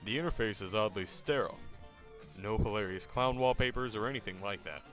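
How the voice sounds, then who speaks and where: Man as adventure game narrator, low pitch, dry, wry, instructive, scripted, music behind. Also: fairly quick, fairly bright tone, natural voice. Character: wry second-person narrator issuing adventure game commands.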